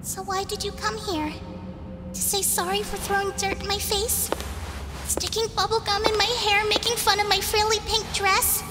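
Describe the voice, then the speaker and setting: A very high little-girl voice rings through a big hall over clear music. She is bratty and scornful, teasing in childish, mocking name-calls, as a radio play character. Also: flat delivery, normal speed. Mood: scornful, hurt. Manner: mocking, sing-song childish name-calling. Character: taunting little schoolgirl, bratty and scornful, on a stage. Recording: long hall reverb, music behind.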